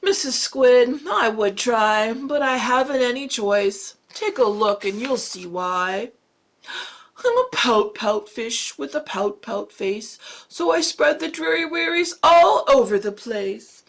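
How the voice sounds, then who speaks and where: Woman voicing a mopey storybook fish, chanted lines, slow and gravelly, swoops up and down. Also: expressive, fairly bright tone, full character voice. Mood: glum, sing-song. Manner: sing-song chanted refrain, dragging low blubs. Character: gloomy male fish, glum and mopey.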